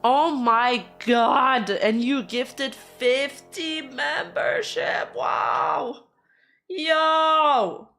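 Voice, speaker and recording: Hoarse woman streamer, unhurried rambling chat, faint music underneath. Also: high-pitched, animated, fairly bright tone. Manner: chatty, rambling, easily laughing. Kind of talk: casual livestream chat with viewers.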